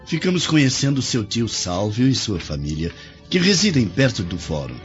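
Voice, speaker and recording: Expressive young Roman nobleman. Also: on the low side, moderate pace. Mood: formal, earnest. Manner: formal, emphatic declamation. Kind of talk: radio drama acting. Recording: dull lo-fi radio drama, music behind.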